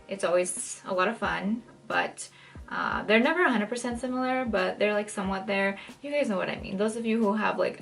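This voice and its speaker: A young woman with a raspy, dry-throated voice, talking viewers through a makeup tutorial in a chatty, casual way. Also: medium pitch, fairly bright tone. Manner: chatty, many "like" fillers, shifts pace often. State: dry throat, maybe catching a cold. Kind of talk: casual step-by-step tutorial talk. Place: home, in front of a mirror.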